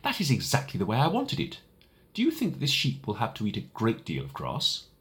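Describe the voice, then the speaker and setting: A man voicing a small, earnest boy from a tiny world who asks for things gravely and patiently. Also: huge pitch swings, a little fast. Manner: high, soft, patient and serious. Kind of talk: audiobook character voice.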